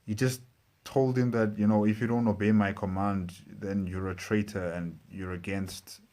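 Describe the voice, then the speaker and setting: A man giving casual reaction commentary as a show host, speaking haltingly and repeating phrases. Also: lowish voice, flat delivery, darkish tone.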